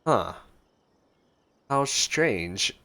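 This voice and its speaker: A man's voice: medium pitch, animated, drawn-out words, bright, forceful tone. A man giving casual reaction commentary as a gameplay streamer.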